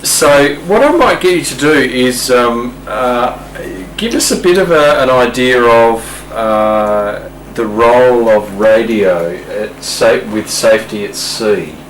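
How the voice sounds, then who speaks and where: A man's voice: mid-range voice, animated. Hesitant Australian male interviewer, echoey room. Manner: hesitant, halting. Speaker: interviewer, host. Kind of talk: informal interview. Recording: roomy, distant mic, faint hum.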